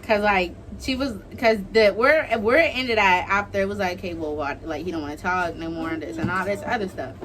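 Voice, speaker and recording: A woman's voice: mid-range voice, brisk, few pauses, a bit bright, slight background noise, low-quality recording. A young adult woman telling a casual, gossipy story in informal slang, acting out what people said. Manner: informal, slangy, gossipy retelling with acted-out quotes. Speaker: online video creator telling a story.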